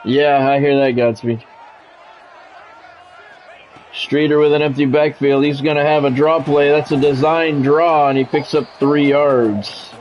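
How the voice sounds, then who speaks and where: Higher-pitched man calling football play-by-play. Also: steady tone, measured pace, muffled recording, a little hiss or hum. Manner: practised radio-style play-by-play, clipped phrases. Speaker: streamer doing play-by-play of a football video game. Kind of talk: sports commentary mixed with casual chat.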